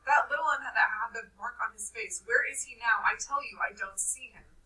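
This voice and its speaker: A woman reading aloud, voicing an anxious, indignant schoolboy with glasses who speaks in rough grammar. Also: on the high side, normal speed, pushed and bright, distant mic, low-quality recording. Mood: frightened. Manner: whiny, indignant, working-class grammar.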